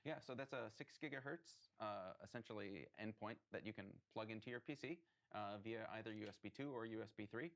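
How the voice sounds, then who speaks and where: Gravelly low-voiced man explaining tech. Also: brisk, few pauses, fairly bright tone. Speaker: hardware developer interviewed. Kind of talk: technical interview answers.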